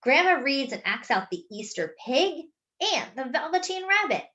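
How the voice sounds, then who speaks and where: A woman reads a picture book aloud in a bright, fairly high voice. Her delivery is animated and very varied in pitch, shaped for young children. She narrates as a storyteller, expressively and at an unhurried pace. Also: lo-fi sound. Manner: animated, pausing to explain words.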